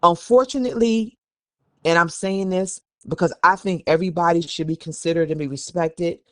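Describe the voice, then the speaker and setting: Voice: mid-range voice, normal speed, no echo. Emphatic podcast host with a dry, close sound. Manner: emphatic, candid, conversational asides. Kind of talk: reading an article aloud, then opinionated commentary.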